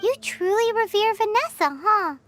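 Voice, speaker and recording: A woman's voice: very high-pitched, animated, moderate pace. A woman voicing a small, chirpy companion sprite from a game who talks about herself in the third person. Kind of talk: video game voice acting. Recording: game cutscene with loud music.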